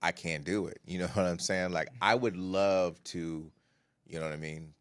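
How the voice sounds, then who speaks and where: Deep-voiced male co-host, laid-back and slangy, very dry close sound. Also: normal speed, no room sound. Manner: relaxed slangy talk, gets animated. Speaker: podcast co-host, content creator. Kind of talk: casual podcast chat.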